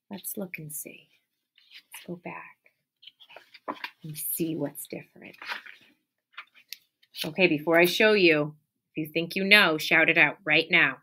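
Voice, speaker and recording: A woman teacher in her thirties reads a picture book aloud, taking her time over each line. Her voice is bright and a touch low, and she livens the story with gasps and questions for the children. It is captured on a close webcam in a classroom. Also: creaky, slight background noise. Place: classroom or office with window and colorful wall hanging. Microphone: webcam at close range.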